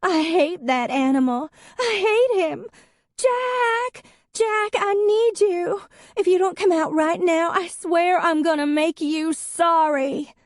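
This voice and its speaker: A woman's voice: high voice, lively intonation, takes it slowly, no echo, studio quality, pitched above the performer's own voice. A woman voicing a drunk, furious woman who slurs and shrieks. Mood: hysterical. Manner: slurring, shrieking.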